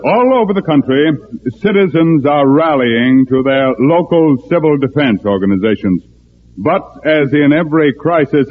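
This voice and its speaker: A man acting in a 1950s radio drama as a smooth, scheming leader of false patriots, with formal oratorical delivery. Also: on the high side, close to an everyday voice. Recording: tinny band-limited old radio recording.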